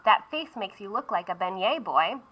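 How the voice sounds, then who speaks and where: A woman's voice: mid-range voice, expressive, moderate pace, highs cut off, lightly acted. A woman voicing a kindly, generous male baker in a storybook read-aloud. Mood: gentle.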